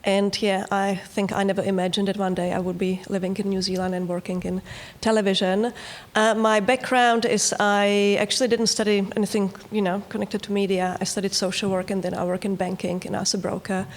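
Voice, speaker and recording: Flat-voiced woman with a Czech accent. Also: mid-range voice, brisk. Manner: matter-of-fact, slightly non-native grammar. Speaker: factual TV producer.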